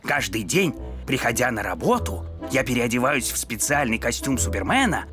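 A man's voice: mid-range voice, very animated, moderate pace, natural voice. Playful middle-aged dog father for animation, played by a man: grandiose bragging, wildly swinging intonation, music behind. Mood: boastful. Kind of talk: animated cartoon acting.

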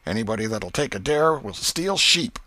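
A man's voice: lowish voice, expressive, normal speed, sharp tone, no echo. A man voicing a scrappy, boastful young boy with a taunting, folksy manner in an audiobook. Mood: boastful, threatening. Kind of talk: audiobook character voice.

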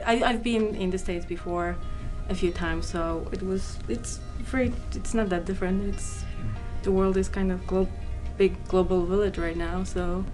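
A young woman, an engineering student, speaking softly and hesitantly in an interview. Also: lowish voice. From Poland. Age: young adult. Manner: soft, hesitant, with fillers. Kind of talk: documentary interview. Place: indoor room.

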